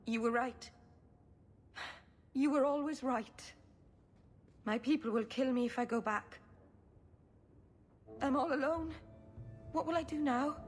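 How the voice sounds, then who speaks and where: A woman playing a gentle, naive young female elf in a fantasy video game, with an earnest, halting delivery. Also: sits high, breathy edge, heavily acted. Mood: anguished, self-blaming.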